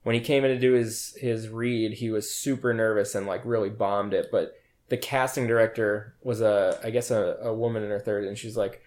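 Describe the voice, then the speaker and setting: A man's voice: fairly low pitch, brisk. A man co-hosting a casual film podcast, swearing freely and recounting trivia. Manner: profane, animated storyteller. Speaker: podcast co-host. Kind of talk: casual chat.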